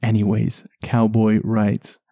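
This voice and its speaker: A man hosting a relaxation podcast in a soft, soothing voice, chatting gently and without hurry, lulling enough to send listeners to sleep. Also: fairly low pitch, completely flat delivery, gravelly, dry recording, studio quality. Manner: gentle, unhurried, chatty asides. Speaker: podcast host.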